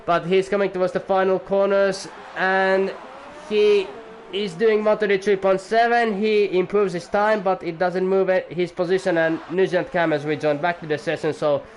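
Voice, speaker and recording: A man's voice: high-pitched, fairly even intonation, normal speed, few pauses, slight background noise, rough recording. A young man giving live sim-racing commentary as the league's owner, rambling and chatty with jokey asides to viewers.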